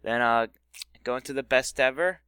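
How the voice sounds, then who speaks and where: A man's voice: medium pitch, moderate pace, sharp tone, clean tone, no room sound. A man hosting a gaming podcast, chatting casually and teasing his co-host. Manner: teasing, joking. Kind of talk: casual chat.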